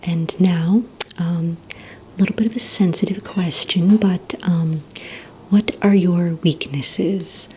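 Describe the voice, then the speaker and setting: Whispering, low, mellow woman in soft ASMR roleplay. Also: slight background noise. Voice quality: breathy, mostly whispered. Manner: gentle, hesitant, unhurried questioning. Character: polite, encouraging villainous receptionist woman, calm and businesslike. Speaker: ASMR roleplay performer as a villain recruitment receptionist.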